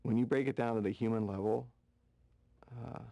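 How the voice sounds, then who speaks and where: Man, lowish dark voice, dry close sound, reflective interview, grave delivery. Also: fairly even intonation, moderate pace, creaky, no room sound.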